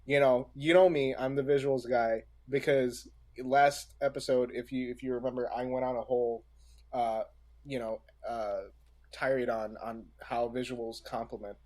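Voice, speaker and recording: A man with a medium-pitched voice speaks as a co-host in a casual podcast discussion. He is deliberate and halting, often pausing partway through a sentence before carrying on. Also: steady tone, a little mellow. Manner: halting, deliberate, many pauses mid-sentence.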